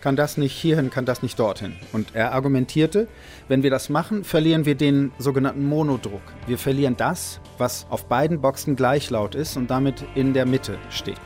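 A man talking reflectively as an interviewee, halting and correcting himself as he thinks. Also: medium pitch, darkish tone. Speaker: music producer and musician, interviewee.

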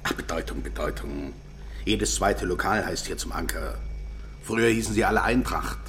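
A man playing a gruff, wry, overworked cook in a children's radio play, brusque and teasing. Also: low-pitched, moderate pace, a bit bright, a little hiss or hum. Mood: gruff, impatient.

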